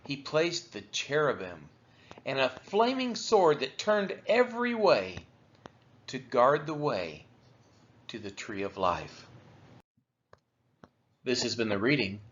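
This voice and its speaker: A man reading scripture aloud for a daily read-along, phrasing it deliberately in short units. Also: mid-range voice, very animated, muffled recording. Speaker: Bible reader for a church's daily read-along.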